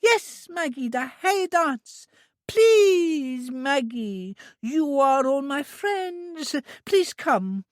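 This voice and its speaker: A reader voicing a childlike, eager young woman who speaks slowly in a high, sing-song, pleading way. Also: expressive, no room sound, studio quality, exaggerated voice.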